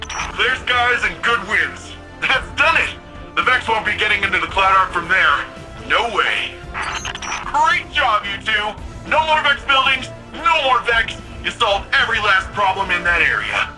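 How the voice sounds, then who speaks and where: A man's voice: high-pitched, sing-song, measured pace, music in the background, low-quality recording. A man playing an upbeat, keen ally who guides a soldier over the radio in a sci-fi video game, talking colloquially. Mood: upbeat, triumphant. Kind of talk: video game mission dialogue.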